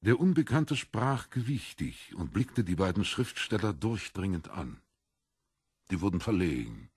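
Low gravel-rough guy reading a novel as narrator, measured, super dry recording. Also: very animated, darkish tone, dry recording. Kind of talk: scripted literary narration.

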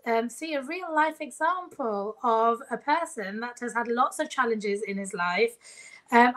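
A woman's voice: on the high side, fairly bright tone. Upbeat woman hosting a video call. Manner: friendly, upbeat, laughs often. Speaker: host. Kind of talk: live-streamed children's lesson interview. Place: home room.

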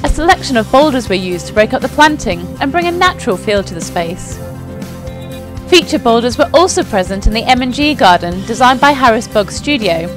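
An upbeat young woman reads a scripted narration with enthusiasm, her voice sitting a little high. Clear music plays underneath her throughout. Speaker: company presenter. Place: outdoors at a flower show.

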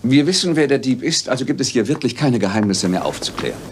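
Ein Sprecher, der in einem Hörspiel einen ruhigen, trocken spottenden Detektiv spielt. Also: male voice, medium pitch, fast talker, a little hiss or hum, natural voice. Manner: calm, dry and teasing. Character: cool, wry male detective, middle-aged. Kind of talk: German radio drama.

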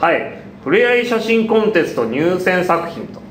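A man's voice: very animated, moderate pace. A young man making a casual announcement as co-presenter of a live show, bantering in a jokey, mock-cocky way. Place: small indoor studio. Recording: echoey room, hissy low-quality web stream.

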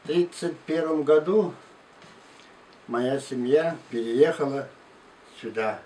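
A man in his nineties from Russia, slowly and haltingly recounting his life story in an interview. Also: fairly high pitch, dark tone. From Tula region and Moscow outskirts, Russia. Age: 92, elderly. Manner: halting, unhurried, with restarts and pauses. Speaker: war veteran interviewee. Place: living room at home, indoors. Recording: distant mic, faint room noise.

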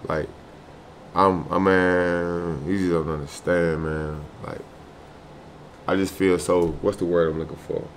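Casting: American male, thirties, low voice, informal slangy video diary delivery recorded in a small room. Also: slight background noise. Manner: slangy, repeats "man", "bro" and "you know what I'm saying". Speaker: truck driver vlogger. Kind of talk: casual vlog talk to camera. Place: small furnished hotel room, indoors.

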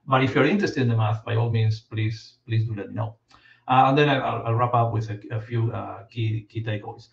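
A man in his forties from Argentina, giving a relaxed academic talk with many fillers and restarts over a video call. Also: on the low side, brisk, gravelly. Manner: relaxed, frequent fillers and repeated words. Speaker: guest lecturer, professor. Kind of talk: casual academic presentation. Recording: distant, roomy, dull video-call audio.

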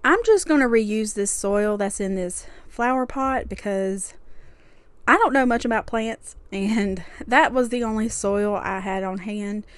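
A young woman talks through her cleaning day in a relaxed, homely voice-over. Her voice sits at an ordinary middle pitch and sounds dry and close to the mic. She chats easily and laughs lightly now and then. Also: a little slow, no room sound. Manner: chatty and homely, with small laughs. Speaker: cleaning vlogger. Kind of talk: casual voice-over narration.

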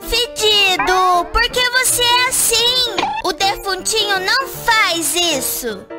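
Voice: very high voice, animated, slow, exaggerated voice. A performer voicing a bossy, fussy little boy creature in a children's cartoon, with a scolding, exclamatory manner. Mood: grumbling. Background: children's music.

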